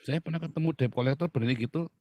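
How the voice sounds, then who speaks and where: A man's voice: a little high, rapid-fire, warm tone, dry recording. A middle-aged man from Indonesia, a pastor hosting an outspoken talk, speaking bluntly and emphatically and laughing often. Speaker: pastor and host.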